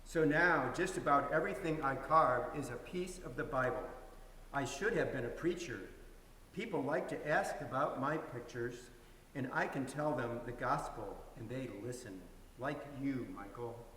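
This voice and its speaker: A man's voice: medium pitch, unhurried, sharp tone, far from the mic. A man playing storybook parts in a plain, earnest dramatic reading. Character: storybook narrator sharing parts of boy and carver. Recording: echoey hall from afar, faint hiss.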